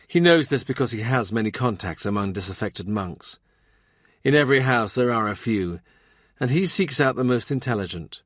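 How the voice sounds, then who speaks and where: Guy narrating a historical novel audiobook, mid-pitched voice, really dry close sound, scripted reading. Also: expressive, normal speed, no echo.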